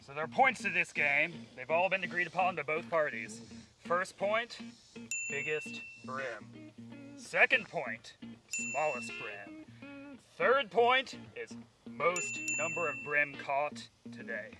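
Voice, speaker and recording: Guy refereeing a fishing contest outdoors, mock-strict and showy, lively up-and-down voice, music under it. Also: a little high, slow, rough recording. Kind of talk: playful outdoor commentary. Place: outdoors by a pond.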